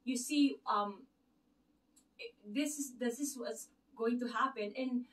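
A woman's voice: fairly high pitch, slow pace. Filipino-accented woman talking from across the room. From Philippines. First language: Tagalog. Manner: earnest, rambling, many fillers and restarts. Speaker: channel host. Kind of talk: casual faith talk to camera. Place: living room with a lit Christmas tree. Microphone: camera across the room. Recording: distant camera mic in a room.

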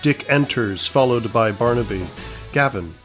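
A man's voice: mid-range voice, a little slow, fairly bright tone, faint music behind. A man reading scripted narration as the narrator of an audio comedy.

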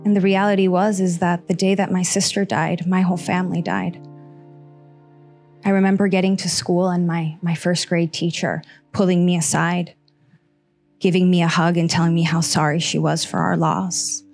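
Woman in her thirties telling her story slow and earnest on stage, handheld mic, soft music behind. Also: fairly low pitch, little pitch movement. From Costa Rica. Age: 30s. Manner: slow, earnest, heartfelt delivery with pauses. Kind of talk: personal story monologue. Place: small stage in front of seated audience.

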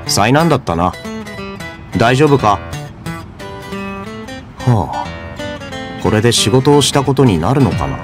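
A man's voice: mid-range voice, animated, a little slow, long pauses, lightly acted. A man playing a laid-back, unbothered hero in an anime video game. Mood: nonchalant. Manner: casual, unbothered. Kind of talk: Japanese anime game acting. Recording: music behind the voice.

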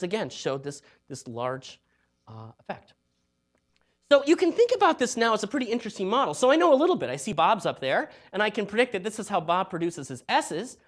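A middle-aged man giving an academic lecture in a hall, talking in a lively, conversational way with joking asides. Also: sits high. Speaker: university researcher giving a talk.

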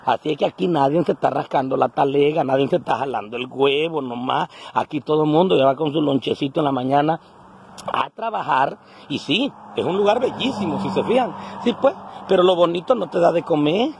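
A man in his forties with a coastal Mexican accent from Guerrero, vlogging on a handheld phone. His voice sits a bit high for a man and is quite rough and husky. He talks in an earthy, colloquial way, like someone addressing his people back home. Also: a little fast, darkish tone. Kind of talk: casual selfie vlog monologue to camera. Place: outdoors on a roadside, starting indoors in a house. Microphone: phone at arm's length.

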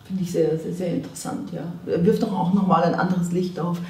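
A middle-aged woman interviewing an author, asking measured, academic questions. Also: fairly low pitch, fairly even intonation, a little mellow, far from the mic, slight background noise. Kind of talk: literary interview. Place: bright room with tall windows. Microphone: far camera mic. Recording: roomy, from afar.

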